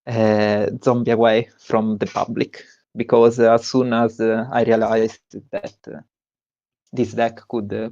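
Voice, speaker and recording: Man, Italian accent, gravelly voice, flat unhurried delivery, dull dry recording. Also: fairly low pitch, no room sound. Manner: halting, many "uh" fillers and restarts. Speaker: tournament winner. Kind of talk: post-win stream interview.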